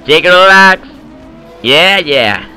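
A man's voice: high-pitched, lively intonation, takes it slowly, bright, forceful tone, clean tone, soft background music, rough recording. A man with an Eastern European accent trolling other players in game voice chat, boastful and in broken English, over a headset. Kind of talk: prank call banter in game voice chat.

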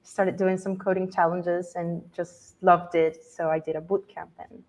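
Woman, 30s, from Colombia, lowish voice, friendly, light laughs, some hesitation, headset on video call. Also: level tone, unhurried, darkish tone, poor audio. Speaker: guest developer. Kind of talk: interview answers. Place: home room, video call.

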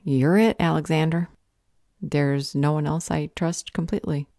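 Casting: female actor as caring vampire healer and mentor, calm and earnest; deep for a woman, close dry audio roleplay sound. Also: moderate pace, no echo. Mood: tender, earnest.

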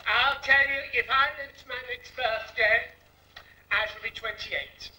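A man's voice: extremely high pitch, steady tone, unhurried, pushed and bright, heavily acted. A man playing a brash, saucy older woman, a pantomime dame, with cheeky, declamatory patter that bosses and coaxes the audience. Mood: cheeky, confiding. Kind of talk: theatrical music-hall pantomime patter to an audience. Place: theatre stage with painted scenery. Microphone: stand microphone, picked up from the auditorium. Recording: echoey theatre, distant old videotape sound.

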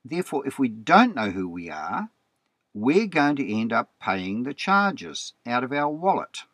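A man's voice: medium pitch, very animated, unhurried, a bit bright, no room sound, studio quality. New man lecturing over slides. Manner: plain explanatory, repeats words, frequent "okay". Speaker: presenter.

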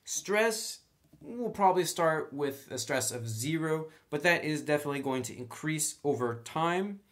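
A man's voice: fairly high pitch, animated, unhurried, a bit bright. A man hosting a tabletop game tutorial, explaining things step by step in a casual, conversational way. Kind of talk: casual step-by-step tutorial, partly reading rules aloud.

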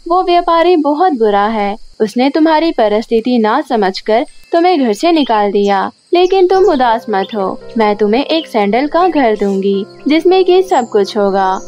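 A woman plays a kindly enchanted sandal in a cartoon fairy tale. Her high voice is comforting and reassuring, set over music. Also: darkish tone. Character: kind, comforting female magical talking sandal. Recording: music under the voice.

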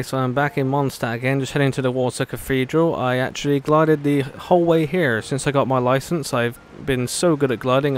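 A man's voice: mid-range voice, slight background noise. A man with a British accent hosting a gaming video, chatting casually with cheeky, sarcastic asides. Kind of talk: casual gameplay commentary. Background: game music.

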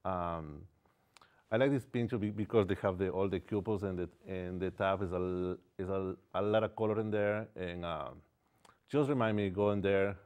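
A man in his forties with an Ecuadorian Spanish accent, a native Spanish speaker, chatting as a guest artist in a small studio on a lapel mic. He talks in a halting way, searching for words and filling gaps with ums. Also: deep voice, darkish tone, smooth. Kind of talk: casual interview chat.

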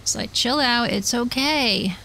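A woman's voice: on the high side, measured pace, sharp tone, a little hiss or hum, rough recording. A woman streamer chatting casually with viewers while she plays, rambling and self-deprecating. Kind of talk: casual chat while gaming.

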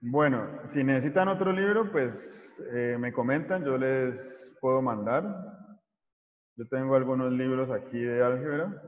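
A man, giving an informal online lecture as a mathematics teacher, haltingly searching for words. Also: mid-range voice. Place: small indoor room, video call. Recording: muffled, echoey room over video call.

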